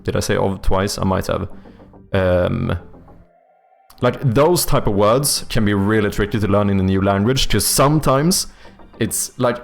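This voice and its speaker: A man's voice: on the low side, a little slow, gravelly. A man from Sweden with a Swedish accent, streaming casually and thinking aloud as he works, with easygoing, sometimes sweary chat. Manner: thinks aloud, muttering while working. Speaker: streamer and game developer.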